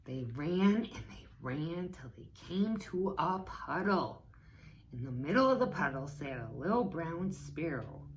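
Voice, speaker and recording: American woman, children's story time, expressive, unhurried, slightly low voice, faint background noise. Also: rough recording. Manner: expressive, pausing to talk to young listeners. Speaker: teaching assistant reading to children.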